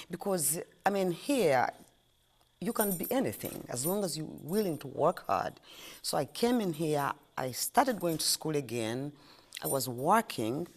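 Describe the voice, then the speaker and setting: A middle-aged woman from Uganda with a Ugandan accent, chatting as an interview guest and repeating phrases for emphasis. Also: low voice. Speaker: interview guest, ice cream shop owner. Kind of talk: casual interview answers.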